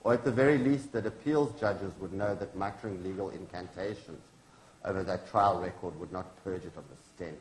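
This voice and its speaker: A man's voice: low-pitched, a little fast, slight background noise, natural voice. A man reading the part of a grieving, defiant activist's widow in a staged play, solemn and accusing in manner. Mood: grieving, bitter. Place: indoor launch event venue. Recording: echoey room.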